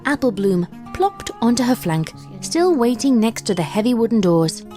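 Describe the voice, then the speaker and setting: A woman reading a horror fan story aloud in steady scripted narration. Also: fairly high pitch, moderate pace, soft background music.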